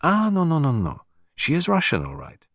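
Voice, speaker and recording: A shrewd little foreign detective, played by a male narrator, courteous and precise with a playful, teasing streak. The pitch is very lively and the tone slightly husky, in a storybook reading. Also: normal speed, no echo, studio quality, heavily acted. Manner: polite, precise, teasing, with French phrases.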